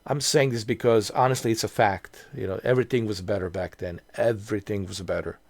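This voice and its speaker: A man's voice: on the low side, steady tone, brisk, gravelly, dry recording. A man in his mid-forties talking casually to his viewers in a rambling way, with many restarts and fillers. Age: 40s. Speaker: video creator. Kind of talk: casual nostalgic vlog monologue.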